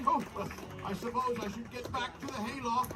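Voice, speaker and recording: A man playing a jolly, high-voiced barn Santa. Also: fairly even intonation, moderate pace. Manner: theatrical, chuckling, grandfatherly. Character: jolly old barn-dwelling Santa, male. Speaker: costumed character performer. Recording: distant and noisy.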